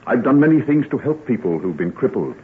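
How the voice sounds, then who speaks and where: An actor playing a grave, remorseful gentleman doctor, formal and earnest, in an old-time radio drama. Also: mid-range voice, very animated, brisk, natural voice. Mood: resolute, reassuring. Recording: tinny, noisy old radio recording.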